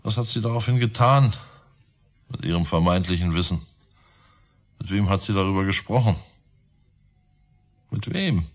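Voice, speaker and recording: Sardonic, deep-voiced police inspector. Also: male voice, expressive, moderate pace, long pauses. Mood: insistent. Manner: probing, sarcastic, insistent. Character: relentless sardonic male police inspector interrogating suspects. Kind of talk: radio crime drama acting.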